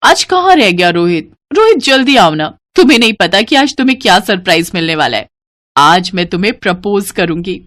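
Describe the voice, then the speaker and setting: A woman's voice: medium pitch, very animated, brisk, fairly bright tone. A woman voicing a haughty, snobbish rich young woman student in a cartoon story, speaking disdainfully with English words mixed in. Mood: impatient, eager. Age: young adult.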